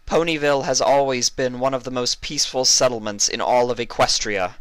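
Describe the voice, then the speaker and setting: A man's voice: medium pitch, steady tone, fairly quick, smooth, no room sound, pitched above the performer's own voice, natural voice. A man voicing a regal pony princess in a story reading, speaking formally and with command to her subjects. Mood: stately proclaiming. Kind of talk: dramatised fan fiction reading.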